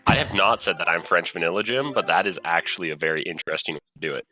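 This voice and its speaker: A man's voice: deep voice, expressive, racing, sharp tone, faint music behind, low-quality recording. A man doing casual, playful game commentary in a soothing voice, joking about himself and laughing often.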